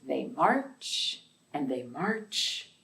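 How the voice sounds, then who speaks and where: A woman leads a storytime for young children at a very unhurried, drawn-out pace. Her delivery is sing-song and full of pitch swings, with questions put to the little listeners. She is heard in an echoey room. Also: sing-song, creaky, background noise. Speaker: library storytime host. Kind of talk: children's read-aloud storytime with counting rhymes. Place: echoey indoor room, away from the mic. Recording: echoey room, distant microphone.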